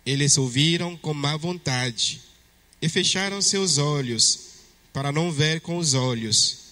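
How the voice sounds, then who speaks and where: A man from Togo with a French-speaking West African accent, a priest reading the Gospel and preaching at Mass in a measured way. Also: fairly high pitch, sharp tone. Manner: slow, measured liturgical delivery. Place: church. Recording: long church reverb.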